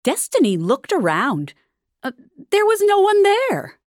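A woman narrating a storybook tale from a script in a dramatic, deliberate manner. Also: very high voice, huge pitch swings, dry recording, studio quality.

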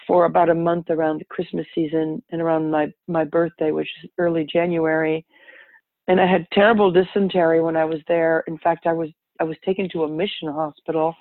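A woman's voice: low voice, no echo. A woman from Paris with French as her first language, telling her personal story conversationally as an interview guest. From born and raised in Paris, France, to American parents. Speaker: interview guest, health advocate. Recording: muffled, band-limited remote line.

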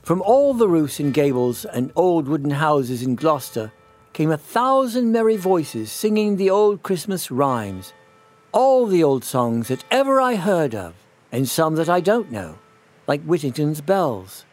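Casting: male narrator for children's classics, animated and expressive, taking his time over each phrase. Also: a little high, faint music behind. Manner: expressive, measured storytelling. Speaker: storyteller. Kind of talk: scripted children's storybook narration.

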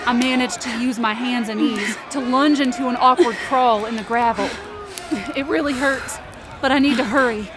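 A woman's voice: a little high, steady tone, normal speed, crowd noise, lightly acted. A woman acting as a stubborn, desperate young rescuer, narrating a supernatural audio drama in an intense, emotive way. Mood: tense. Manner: intense, emotive, swearing under strain. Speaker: narrator and lead actor of a fiction podcast. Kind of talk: dramatic first-person present-tense audio drama narration.

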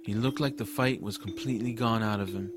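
A man's voice: lowish voice, fairly quick, background noise. A man reading scripted first-person story narration for a family fantasy audiobook.

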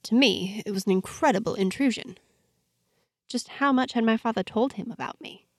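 A woman's voice: fairly high pitch, moderate pace, no echo, studio quality. A woman reading a scripted first-person story, narrating expressively and acting out the dialogue. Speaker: host and narrator of a fiction podcast.

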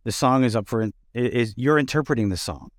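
A man hosting a casual interview, halting as he searches for his words. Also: medium pitch, dry recording, clean studio sound. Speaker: interview host.

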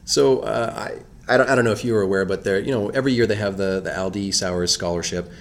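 Low-voiced guy hosting a podcast, chill and rambly. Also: fast talker, creaky, a little hiss or hum. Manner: relaxed, filler-heavy, conversational. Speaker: podcast host. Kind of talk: casual interview podcast.

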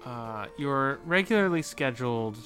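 A man's voice: mid-range voice, lively intonation, a little slow, over music. A man co-hosting a podcast in casual chat about video games. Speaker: podcast co-host.

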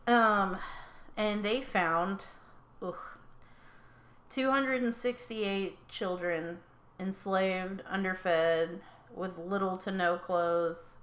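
A woman's voice: medium pitch, fairly even intonation, drawn-out words, fairly bright tone. Hesitant young woman in an echoey room. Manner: hesitant, many ums, reads out facts. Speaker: podcast co-host. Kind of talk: casual true-crime chat.

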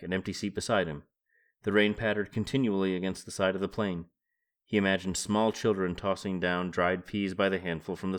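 A man with a fairly low voice hosts a solo book podcast. He sounds middle-aged and moves between reading prose aloud and informal commentary, musing as if thinking out loud. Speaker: podcast host.

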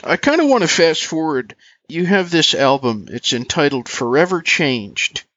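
Male interview host with lively pitch swings. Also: normal speed, no room sound, dull audio. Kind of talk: scripted introduction and friendly interview questions.

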